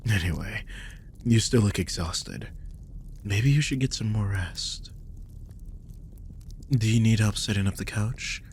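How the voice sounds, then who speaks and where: A man playing a guilt-ridden, tender supernatural protector in an intimate audio drama, speaking gently and hesitantly with a husky, breathy voice that slips toward whispers. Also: lowish voice, unhurried, pauses often, slight background noise. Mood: tender concern. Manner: gentle, hesitant, sighing delivery.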